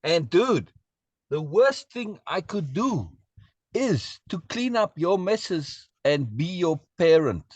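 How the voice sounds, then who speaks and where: A man giving blunt, emphatic advice to a friend in casual conversation, slowing down to press his points. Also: fairly high pitch, very animated, slightly rough, no room sound. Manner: emphatic, lecturing advice, slow for stress.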